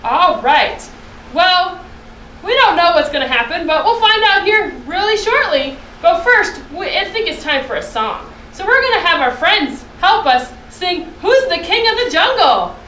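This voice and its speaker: A woman's voice: extremely high pitch, very animated, measured pace, sharp tone. A young woman hosting a children's puppet show, addressing kids between scenes from a little way off the mic indoors. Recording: roomy echo, distant mic, faint noise.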